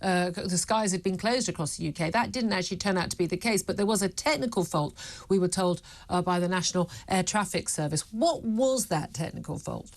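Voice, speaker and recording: A woman's voice: on the low side, brisk. A British woman presenting a live news interview in a chatty, emphatic and opinionated way.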